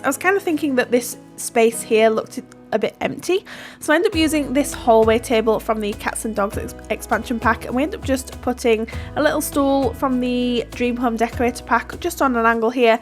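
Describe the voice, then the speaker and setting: A woman's voice: a little high, normal speed, soft background music. A woman, stuffy from hay fever, chatting casually through a voiceover of her building game, giggling and self-deprecating. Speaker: YouTuber narrating a Sims build.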